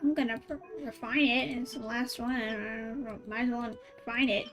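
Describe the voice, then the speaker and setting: A woman's voice: fairly high pitch, fairly even intonation, brisk, over music. A woman chatting casually on a game livestream, thinking aloud informally and putting questions to her viewers. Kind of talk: casual livestream chat with viewers while playing.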